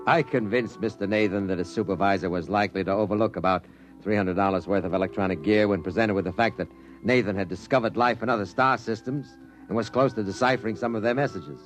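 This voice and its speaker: A man's voice: on the low side, fast, faint music behind, natural voice. An actor playing a wry, skeptical male reporter who also narrates, in a 1950s radio drama. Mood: narrating.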